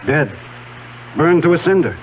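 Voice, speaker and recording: An actor playing a shrewd, unflappable investigator, blunt and measured, in a radio mystery drama. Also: male voice, fairly high pitch, very animated. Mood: matter-of-fact. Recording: narrow-band old radio recording, faint hum.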